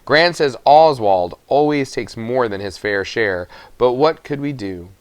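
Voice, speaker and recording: A man reads a children's novel aloud as scripted narration. His voice sits at a medium pitch, and the sound is dry with little room around it. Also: expressive, measured pace, clean tone, no room sound. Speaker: storybook reader.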